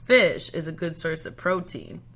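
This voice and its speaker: Lady doing a storybook mom, kinda low voice, calm but firm, explains things matter-of-factly. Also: a little slow, full character voice. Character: calm, firm, health-minded mother.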